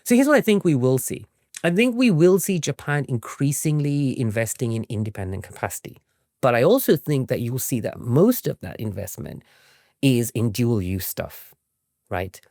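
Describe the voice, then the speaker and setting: A man's voice: mid-range voice, expressive, moderate pace, darkish tone, no room sound, studio quality. A man giving explanatory answers as an expert interview guest, often tagging his points with a questioning "right?". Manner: frequently tags statements with "right?". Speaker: interview guest, academic author and historian.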